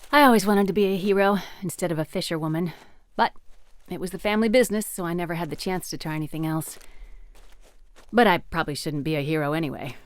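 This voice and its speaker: A woman's voice: on the low side, quick pace, no room sound, lightly acted. An actress playing a frank, curious village fisherwoman in an audio drama, blunt and searching with a teasing streak. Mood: earnest.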